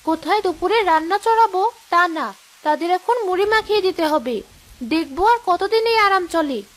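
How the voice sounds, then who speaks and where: A high-pitched young wife and mother from a cartoon , acted by a woman. She has a sharp tongue and an exasperated, long-suffering temper. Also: expressive, normal speed. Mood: nagging, annoyed. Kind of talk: cartoon story dialogue.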